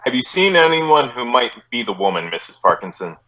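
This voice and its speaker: An actor playing a terse, businesslike police detective sergeant in a deadpan, clipped 1950s radio style. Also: male voice, medium pitch, brisk. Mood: businesslike, polite. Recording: dull vintage radio recording.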